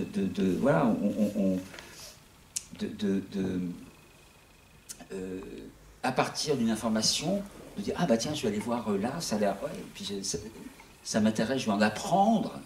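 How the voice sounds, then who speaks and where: Low-voiced man in his sixties, far off. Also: animated, moderate pace, slight background noise. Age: 60s. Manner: rambling, with many restarts and fillers. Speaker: founder of an online news outlet. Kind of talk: casual talk to an audience. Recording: echoey room, voice from far off.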